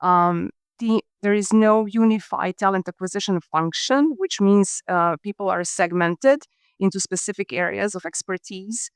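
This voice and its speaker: A woman from Croatia, a podcast guest explaining her hiring work in a measured, businesslike way. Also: medium pitch, smooth, no room sound, studio quality. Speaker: guest, talent acquisition leader. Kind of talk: podcast interview answers.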